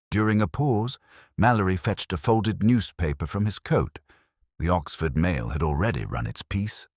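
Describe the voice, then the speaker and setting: A man with an extremely low voice reads first-person detective fiction. His delivery is measured and reflective, with lively rises and falls in pitch. The sound is dry and close, suited to literary storytelling. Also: no echo. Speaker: narrator.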